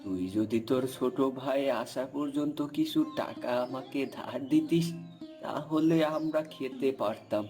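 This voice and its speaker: Here is a man acting a gentle old father from a cartoon village tale, short of money and humble. The voice is mellow and mid-pitched, with music playing faintly behind. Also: unhurried, close to an everyday voice. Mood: pleading. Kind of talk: cartoon folk tale dialogue.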